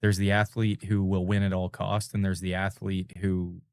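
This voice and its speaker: A man's voice: low voice, little pitch movement, normal speed, darkish tone, no echo, studio quality. A man in his forties, a guest talking earnestly in a casual interview, often repeating words as he builds a point. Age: 40s.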